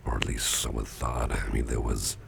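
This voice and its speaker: An actor playing a sickly, gruff but genial boss in an ASMR roleplay, musing slowly and haltingly in a half-whisper close to the microphone. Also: male voice, steady tone, background noise, exaggerated voice. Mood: musing, uneasy. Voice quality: very deep, gravelly, breathy half-whisper. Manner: slow, halting, folksy musing with pauses.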